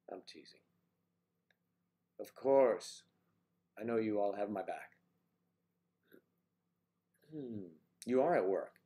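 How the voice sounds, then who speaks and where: An older man with a congested, deep voice, chatting casually and teasingly on his morning livestream, greeting viewers by name. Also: dark tone. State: congested with a cold. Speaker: livestream host.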